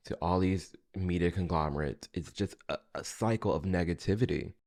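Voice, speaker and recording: A man's voice: sits low, normal speed, a little mellow. A man hosting a reaction video, commenting casually in colloquial words and chiming in to agree. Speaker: video host reacting to a clip.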